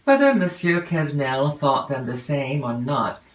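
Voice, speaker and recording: A woman reading a classic novel as an audiobook narrator, in a measured, formal way with deliberate phrasing. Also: rumbling low, very animated. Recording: roomy, echoey home recording.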